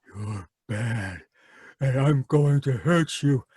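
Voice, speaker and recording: A man's voice: mid-range voice, slow, darkish tone, slightly rough, dry recording, studio quality. A man playing a childlike, violent asylum patient who whines and pleads, then turns menacing, in a radio drama. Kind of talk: live radio drama reading over video call.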